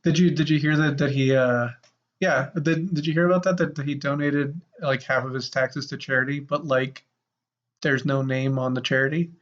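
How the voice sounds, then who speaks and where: Podcast co-host with a mid-range voice, wry and halting, casual chat, muffled lo-fi audio. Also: mid-range voice, fairly even intonation. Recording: dull, lo-fi sound.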